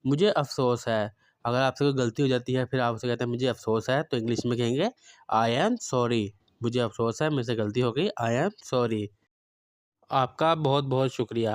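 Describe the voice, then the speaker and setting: Slow, deliberate male English tutor. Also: mid-range voice, no echo, studio quality. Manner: explains each phrase in Urdu, then says the English slowly and deliberately. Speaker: English teacher. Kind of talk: spoken language lesson.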